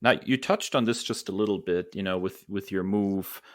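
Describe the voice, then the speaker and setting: Deep-voiced male podcast interviewer. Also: moderate pace. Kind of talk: casual interview.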